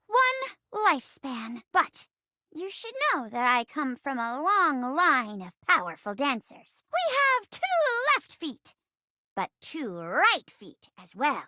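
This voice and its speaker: A woman's voice: high-pitched, wildly swooping pitch, slow pace, bright, forceful tone, no echo, clean studio sound, heavily acted. A woman voicing a small, earnest, plucky young female forest sprite in a video game, speaking in grand, melodramatic mock-archaic phrases. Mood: cheerful, matter-of-fact. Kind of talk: theatrical fantasy role-play in a video game.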